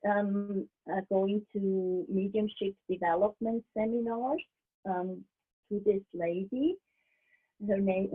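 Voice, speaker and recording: Slow, husky woman on a tinny call. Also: mid-range voice. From Switzerland. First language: German. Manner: thoughtful, halting, often restarts and laughs softly. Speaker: medium, interview guest. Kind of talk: casual interview. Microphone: video call. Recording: tinny video call line.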